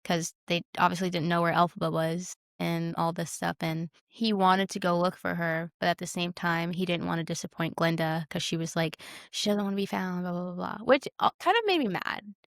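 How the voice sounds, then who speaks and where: A woman's voice: fairly low pitch, brisk, vocal fry, hardly any pauses, dry recording, clean studio sound. A young adult woman talking casually and conversationally about films as a podcast co-host. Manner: informal, conversational.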